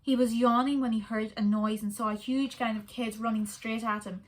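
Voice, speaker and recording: A woman reading a children's story aloud in a soft, expressive way. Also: fairly high pitch, moderate pace.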